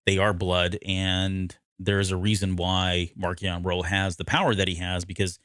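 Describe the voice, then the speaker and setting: Male podcast co-host, lower-pitched, dry close sound, conversational, self-correcting recap delivery. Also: flat delivery, moderate pace, a bit bright, clean tone, no room sound. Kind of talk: casual discussion recapping an audio drama.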